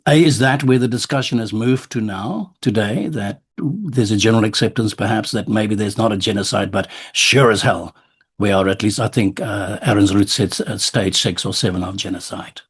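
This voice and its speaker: A man's voice: lowish voice, husky. A man with a South African accent hosting a podcast, telling a story and thinking aloud as he builds up to a question. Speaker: podcast host. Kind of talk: conversational interview. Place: lives abroad, recording remotely.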